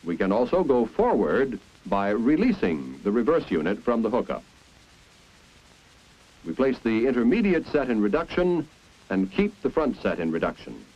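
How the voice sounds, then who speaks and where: 1950s training film narrator, American man, lively intonation, deliberate explanatory delivery, muffled old soundtrack. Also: medium pitch, husky. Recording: dull, band-limited 1950s film soundtrack.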